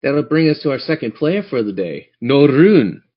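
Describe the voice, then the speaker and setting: A man's voice: medium pitch, sing-song, normal speed, dull audio. A man narrating a tabletop fantasy game as game master, theatrical yet conversational.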